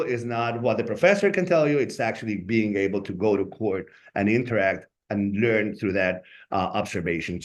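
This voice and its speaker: A man from Colombia whose first language is Spanish, speaking with a Colombian Spanish accent in a webinar. He sounds earnest and often ends his points with "right?". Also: lowish voice. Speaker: law school staff member. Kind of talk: informational webinar talk.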